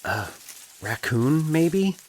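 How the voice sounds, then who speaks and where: A man playing an uneasy grown man talking to himself in an audio story, in a halting, awed mutter. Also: a little high, sing-song, a little mellow, slight background noise, pitched above the performer's own voice, exaggerated voice. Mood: nervous guessing. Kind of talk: dramatized audio fiction dialogue.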